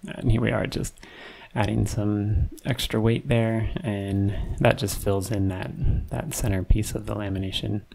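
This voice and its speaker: A man narrating his woodworking build in a relaxed, unhurried way, with many ums and restarts. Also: fairly low pitch, vocal fry. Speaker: woodworking channel host. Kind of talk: casual voice-over narration of a build. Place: home woodshop.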